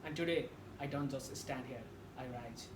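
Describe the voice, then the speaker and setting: A man from Nepal with a Nepali accent delivers a rehearsed motivational speech in an earnest, emphatic way with deliberate dramatic pauses. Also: medium pitch. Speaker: public speaking practice presenter. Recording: echoey room with background noise.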